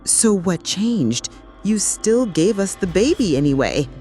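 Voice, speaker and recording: A woman's voice: mid-range voice, sing-song, a little slow, dark tone, soft background music, voice pushed up. A woman voicing a frightened, wary young mother on the run in a suspense folktale. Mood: questioning. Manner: wary, questioning.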